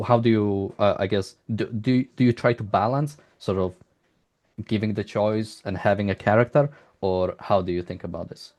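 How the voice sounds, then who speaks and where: Male interviewer with a low voice, casual, feeling his way through rambling, hesitant questions. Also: a little mellow.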